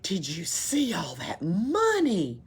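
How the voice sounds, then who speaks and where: Lady doing a sneaky greedy tramp guy from a storybook, husky, furtive, like plotting a theft. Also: medium pitch, huge pitch swings, takes it slowly, exaggerated voice. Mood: greedy, delighted. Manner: furtive, conspiratorial. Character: sly, greedy male tramp thieves.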